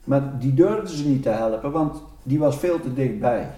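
Recorded a little away from the mic in a roomy space, an older man recounts his research in an interview. His voice is mellow, and he often stops to search for words. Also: medium pitch, lively intonation, moderate pace, recorded from a distance. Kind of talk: spontaneous interview account.

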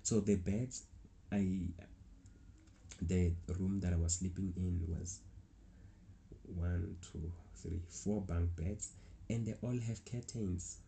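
Deep gravelly South African man. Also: fairly even intonation, slow pace, long pauses, dark tone, a little hiss or hum. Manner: rambling, restarts often, chuckles. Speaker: travel vlogger. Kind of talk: casual storytelling.

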